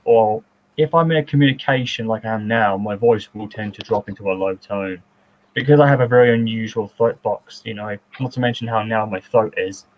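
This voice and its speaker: Casting: thirties man, rambling chatty commentary, slightly dull call audio. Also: a little fast. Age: 30s. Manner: rambling, self-described distinctive high voice. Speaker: filmmaker giving commentary. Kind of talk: casual commentary chat.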